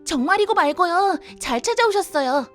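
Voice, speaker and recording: A woman's voice: very high voice, lively intonation, moderate pace, fairly bright tone, soft background music. A woman playing a welcoming, eager youthful head librarian of a magical library, speaking politely and sweetly in an audio drama. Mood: welcoming, delighted. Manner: polite, sweet, theatrical formal speech.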